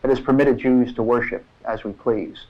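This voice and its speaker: A man's voice: medium pitch, steady tone, moderate pace, muffled recording. An American man delivering an earnest prepared statement on a harsh old film soundtrack. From United States.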